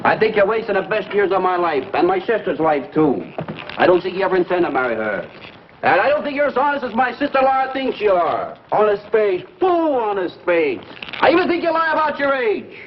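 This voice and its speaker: An actor playing a needling, sarcastic, whiny brother-in-law in a theatrical 1950s broadcast comedy style. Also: male voice, sits high, very animated, brisk. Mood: aggrieved, sarcastic. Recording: muffled, noisy 1950s broadcast recording.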